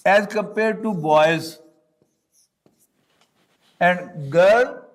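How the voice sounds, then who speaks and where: A male Arabic teacher, not a native English speaker, giving a classroom lecture slowly and deliberately, repeating points and checking understanding. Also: high-pitched. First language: not English; Urdu is his second language.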